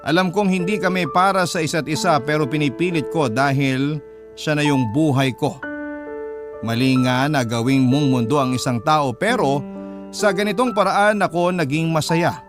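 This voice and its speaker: A male radio storyteller reads a first-person letter with emotive, confessional delivery. His pitch sits a little high for a man, and music plays clearly behind him. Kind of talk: dramatic first-person scripted narration of a listener's letter.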